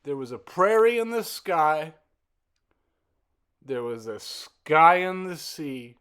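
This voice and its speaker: Slow, deliberate man reciting poetry. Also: a little high, sing-song, fairly bright tone, clear, resonant tone. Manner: deliberate, fragmented phrasing with halting pauses and repeated words. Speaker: poet reciting own work. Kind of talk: dramatic spoken-word poetry recital.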